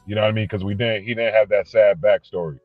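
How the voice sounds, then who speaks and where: A man on a podcast chatting casually, laid-back and quick to laugh. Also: lowish voice, fairly even intonation, no room sound, faint music behind. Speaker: podcast co-guest, family of the boxer. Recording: tinny phone-like line.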